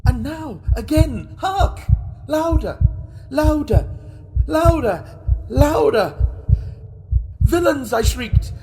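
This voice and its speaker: A man playing a mad, guilt-racked murderer, telling his horror story in a theatrical, intense and deliberate manner. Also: very high voice, animated, a little mellow, faint music behind, full character voice. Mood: frantic, hysterical. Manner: theatrical, deliberate, intense, broken into short phrases. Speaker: reader of a classic horror story. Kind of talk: dramatic first-person storytelling.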